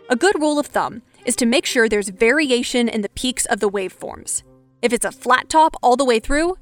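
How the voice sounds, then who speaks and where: A woman hosting a podcast, reading scripted narration in a clear, instructive manner. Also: fairly high pitch. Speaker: podcast host.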